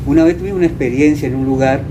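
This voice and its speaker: An older man with an Argentine accent, reminiscing in casual conversation, haltingly and often repeating words. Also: fairly high pitch, noisy background. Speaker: interviewee, veteran radio drama actor.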